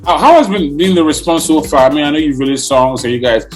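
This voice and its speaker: A man hosting a podcast, interviewing guests in a casual way, often pausing with fillers and restarting his sentences. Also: on the high side, expressive, soft background music. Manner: hesitant, many fillers and restarts. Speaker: podcast host.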